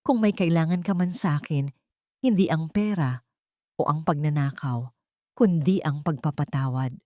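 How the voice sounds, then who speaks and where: A woman's voice: low-pitched, moderate pace, mellow, dry recording, clean studio sound, lightly acted. A woman narrator voicing a resolute, forgiving young mother in an audiobook, solemn and heartfelt.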